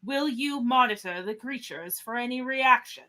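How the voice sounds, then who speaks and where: A woman's voice: a little high, normal speed, pushed and bright. A woman playing a dignified, authoritative starship captain in a fan radio play. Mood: commanding, formal. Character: commanding, questioning starship captain, formal and dignified.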